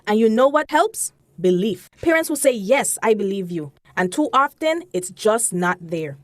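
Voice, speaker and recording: A woman's voice: mid-range voice, big pitch swings, moderate pace, no echo, natural voice. A woman playing a weary, earnest doctor and survivor in a dramatic stage monologue. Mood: weary, impassioned.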